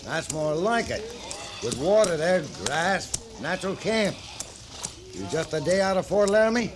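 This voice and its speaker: A plain-spoken American frontier scout talks in short, folksy bursts. His voice is high and wary, in 1950s Western radio style. Clear noise sits on the vintage recording. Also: animated, unhurried. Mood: matter-of-fact. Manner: clipped, folksy.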